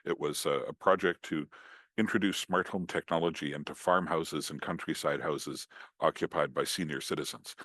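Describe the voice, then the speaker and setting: A man with a Scottish accent giving a relaxed, chatty online talk over a computer microphone, joking at his own expense. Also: very deep voice, normal speed, no echo, clean studio sound. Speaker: guest speaker. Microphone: computer mic on a video call.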